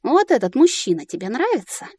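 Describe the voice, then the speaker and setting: A woman voicing a sharp-tongued, bossy young heroine in a fantasy audiobook, exclamatory and theatrical. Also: medium pitch, very animated, moderate pace, dry recording, studio quality. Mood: commanding. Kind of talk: audiobook character acting.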